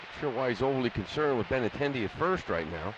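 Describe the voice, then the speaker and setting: A man's voice: mid-range voice, expressive, fast, noisy background. An American man giving conversational analysis during a live baseball radio broadcast. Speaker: baseball color commentator. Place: stadium broadcast booth. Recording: muffled radio broadcast, loud stadium ambience. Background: loud ballpark ambience.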